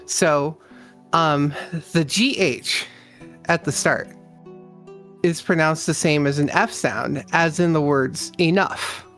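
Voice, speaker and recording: A guest speaks very slowly, drawing words out and joking easily in an unhurried casual chat. The voice sits at a middle pitch, with faint music running behind. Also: a bit bright. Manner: slow, drawn-out, joking. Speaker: stream guest.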